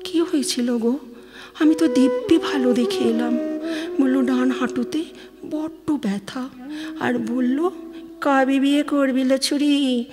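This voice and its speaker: A woman playing a soft-spoken, affectionate young adult daughter phoning home in an audio drama, gentle in manner, with a Bengali accent. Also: high voice, fairly even intonation, takes it slowly. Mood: grieving, nostalgic. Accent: Bengali, West Bengal. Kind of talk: dramatic audio-play phone dialogue. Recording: long studio reverb, music behind.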